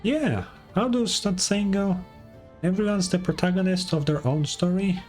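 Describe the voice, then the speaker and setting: A woman voicing a perky, bossy little fairy companion in an anime game, squeaky and exclamatory. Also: moderate pace, darkish tone, faint music behind, lightly acted. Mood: playful, proud. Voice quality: squeaky, childlike. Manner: refers to self in third person, exclamatory. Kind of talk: anime video game voice acting.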